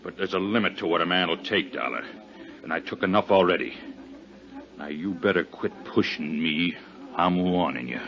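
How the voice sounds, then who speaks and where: An American actor playing a belligerent, bitter middle-aged sea captain, gruff and threatening, in 1950s radio drama style. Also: male voice, deep voice, lively intonation, normal speed. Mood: bitter, menacing. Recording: dull 1950s radio recording.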